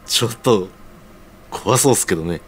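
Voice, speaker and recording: An actor plays a casual young man in a radio play, swinging lively in pitch. His talk is slangy and cheeky, like a junior among friends, over faint music. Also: normal speed, breathy edge. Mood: teasing. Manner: slangy junior-style politeness. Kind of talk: radio sound-drama dialogue.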